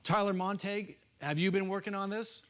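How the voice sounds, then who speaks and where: A man's voice: high-pitched, brisk, a bit bright, clear, resonant tone. An American man hosting a broadcast political panel, putting pointed, probing questions to guests. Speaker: TV political show host.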